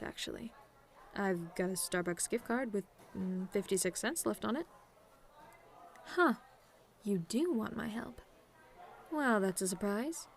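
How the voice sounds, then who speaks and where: A woman's voice: fairly high pitch, a little hiss or hum. A woman playing a cocky, sarcastic tomboy demon with a casual, teasing delivery in an audio roleplay. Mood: wry bargaining. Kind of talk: ASMR-style scripted roleplay monologue.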